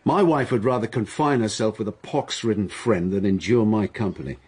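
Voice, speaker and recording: Sardonic, husky, irritable male writer. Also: lowish voice, moderate pace, a little mellow. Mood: irritable, bitter. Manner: dry, caustic, brusque. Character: sardonic, irritable male writer, bitter about his marriage. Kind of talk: radio drama acting.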